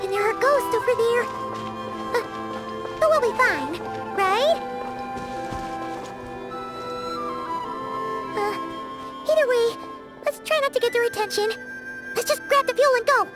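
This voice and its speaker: A woman voicing a small, childlike fairy companion in a video game, nervous but chirpy, with loud music and a roomy echo behind her. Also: very high-pitched, animated, moderate pace, long pauses.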